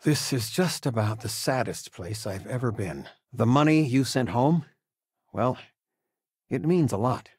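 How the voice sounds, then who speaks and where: An actor playing an affable, proud older father, a retired teacher in a video game, speaking fondly with gentle wry humor. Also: medium pitch, expressive, measured pace, breathy edge, dry recording, studio quality. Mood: fond, easygoing. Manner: fond, reassuring, gently joking. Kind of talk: video game character dialogue.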